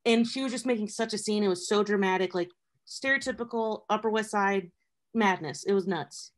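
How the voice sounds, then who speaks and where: A man with a notably high voice runs the conversation, jumping in with questions and stories of his own. He talks in an easy, friendly way, often with fillers. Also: fairly quick. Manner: chatty, eager interviewer. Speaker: host. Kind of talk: casual interview chat.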